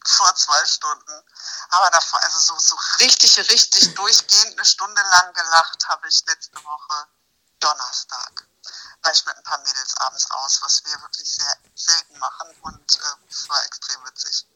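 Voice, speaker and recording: A woman with a very bright, forward voice that sits a little low. She talks as a guest in a casual conversation, telling things in a colloquial, animated way. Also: moderate pace, low-quality recording. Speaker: podcast guest. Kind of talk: casual chat, telling anecdotes.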